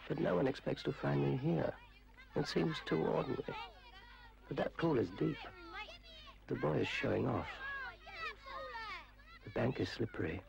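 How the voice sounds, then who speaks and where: An actor playing a menacing spirit of dark water, speaking slowly and ominously in an old public information film. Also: male voice, medium pitch, creaky. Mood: quietly gloating. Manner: ominous, slow, gloating. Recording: dull old film soundtrack, faint noise.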